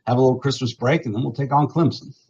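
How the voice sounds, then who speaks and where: A man's voice: mid-range voice, steady tone, quick pace, a little mellow, husky, lo-fi sound. A man hosting a live booster club interview, talkative and upbeat, introducing and questioning players.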